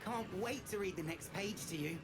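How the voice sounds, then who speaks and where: An actor playing an excitable, loyal street kid in a video game, talking in cheery, informal slang. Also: medium pitch, normal speed, noisy background, close to an everyday voice. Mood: excited. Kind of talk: video game character acting.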